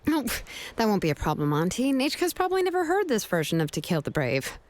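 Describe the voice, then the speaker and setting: A woman's voice: mid-range voice, wildly swooping pitch, fast. An actress playing a frank, persistent young woman in a video game, probing and matter-of-fact. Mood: casual.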